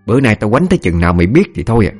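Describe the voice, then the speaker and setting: A man's voice: on the low side, animated, normal speed, a little mellow, breathy edge, faint music behind. A man voicing a cruel middle-aged mistress of a rich household, berating in a Southern Vietnamese accent in an audio story. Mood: furious. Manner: berating, snarling word by word.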